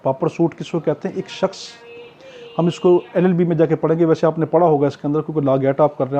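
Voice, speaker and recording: A man's voice: fairly high pitch, dark tone, breathy edge. A man with a Pakistani accent lecturing as a law tutor, explaining point by point, posing questions and answering them himself with much repetition. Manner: didactic, poses questions then answers them, repeats key points. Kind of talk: exam-prep lecture, explaining statutes point by point. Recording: dull, lo-fi, muffled sound.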